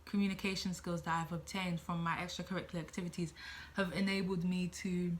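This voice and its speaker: A woman's voice: on the low side, flat delivery, fast, a little hiss or hum. A woman in her late teens talking casually to camera as a student midwife giving advice, chatty, thinking aloud and repeating herself.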